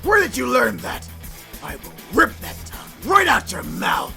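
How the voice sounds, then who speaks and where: A woman voicing a cruel male demon gang leader who sneers, threatens and shouts insults, with a gravelly voice. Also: a little high, wildly swooping pitch, a little slow, soft background music, full character voice. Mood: furious.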